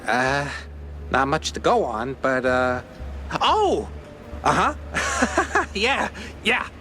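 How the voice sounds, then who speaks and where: High, jittery cartoon rodent. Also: male voice, wildly swooping pitch, extremely slow, sharp tone, slight background noise, heavily acted. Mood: hesitant, eager. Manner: stammering, hesitant.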